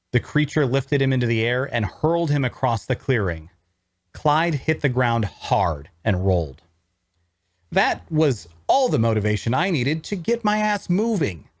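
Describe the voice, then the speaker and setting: A man's voice: medium pitch, no echo, studio quality, close to an everyday voice. A man playing a wry necromancer who narrates his own adventure, reading scripted first-person prose with dramatic storytelling. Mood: wry suspense.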